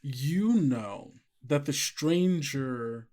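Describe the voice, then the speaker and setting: Guy gm-ing a tabletop rpg, mid pitch, casual n agreeable chat. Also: very slow, dark tone. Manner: agreeable, conversational, often affirming players. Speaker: game master. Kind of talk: casual tabletop game narration.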